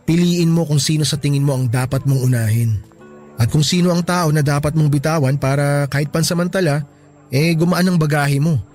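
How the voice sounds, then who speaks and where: A man's voice: a little high, darkish tone, close to an everyday voice. A man with a Filipino accent playing a level-headed young friend who gives earnest, reassuring advice in a Tagalog radio drama.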